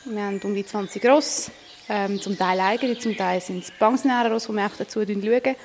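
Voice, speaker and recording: A woman's voice: medium pitch, moderate pace, long reverb tail, slight background noise. Hesitant Swiss woman farm manager. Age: 20s. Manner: hesitant, many fillers. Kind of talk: interview answers.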